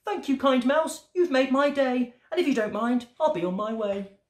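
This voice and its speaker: A woman's voice: sits high, animated, normal speed, reverberant, pitched above the performer's own voice. A woman voicing a small storybook frog, plaintive and exclaiming. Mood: grateful, puzzled. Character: stuck sad frog, panicky then grateful, storybook animal.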